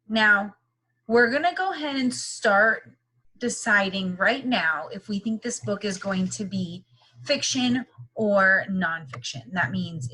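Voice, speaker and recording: A woman's voice: medium pitch, fairly bright tone, breathy edge, poor audio. A woman teacher leading a read-aloud lesson for young children, with instructive, question-filled, encouraging phrasing.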